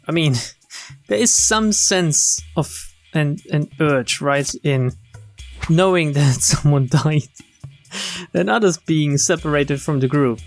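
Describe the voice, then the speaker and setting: Man, somewhat high voice, game streamer, reads story text aloud, wry casual commentary, game music behind. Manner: wry, chuckling asides, casual swearing. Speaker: streamer playing a visual novel.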